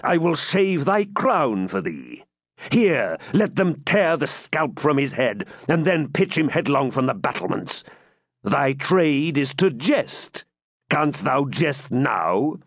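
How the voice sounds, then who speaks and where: A man's voice: medium pitch, sing-song, no room sound, clean studio sound, voice pushed up, full character voice. A man voicing a furious Norman baron. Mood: enraged. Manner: bellowing, threatening, slow and heavy. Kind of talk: audiobook character reading.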